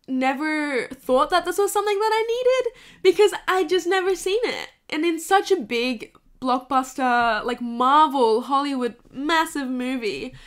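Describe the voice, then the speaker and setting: A woman's voice: high-pitched, lively intonation, measured pace. A woman, talking candidly and swearing freely in a bedroom to her camera. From Hong Kong. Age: 20s. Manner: candid, swears freely, laughs through emotional bits. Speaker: vlogger. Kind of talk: casual confessional chat to camera.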